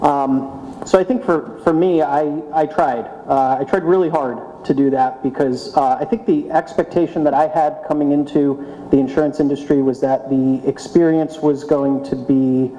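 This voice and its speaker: A man's voice: fairly high pitch, fairly even intonation, moderate pace, mellow. A man speaking as a founder on a conference panel, earnest and hesitant, with many fillers. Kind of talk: panel talk. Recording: reverberant hall.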